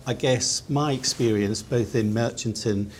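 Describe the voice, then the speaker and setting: A man speaking on a panel discussion in a measured, thoughtful way. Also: mid-range voice, a little hiss or hum. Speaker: round table panelist.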